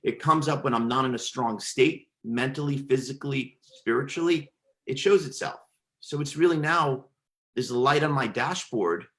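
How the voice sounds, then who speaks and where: A man's voice: mid-range voice, normal speed. A man who stutters, a podcast guest telling his own story in a reflective, conversational way, with repeated words and restarts. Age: adult.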